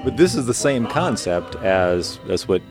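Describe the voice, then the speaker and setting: A man's voice: on the low side, big pitch swings, measured pace. A man asking questions as an interviewer in casual conversation on location. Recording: music clearly playing behind. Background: music from records.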